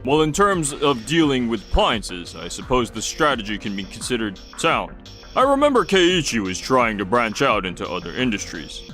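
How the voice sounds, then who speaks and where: A man voicing a measured, businesslike company boss in a dubbed comic drama. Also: mid-range voice, sing-song, fairly bright tone, faint music behind. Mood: considering. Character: measured, businesslike male company boss, middle-aged or older.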